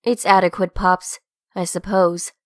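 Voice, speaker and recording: A woman's voice: on the low side, level tone, unhurried, no room sound, studio quality, natural voice. A woman voicing a haughty, ruthless young fairy-tale revolutionary in a comic dub, precise and imperious. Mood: impatient.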